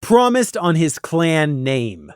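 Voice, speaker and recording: A man reading a scripted story as a narrator, deliberate and expressive, with dramatic emphasis. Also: fairly high pitch, clear, resonant tone, no room sound, clean studio sound. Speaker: audiobook narrator.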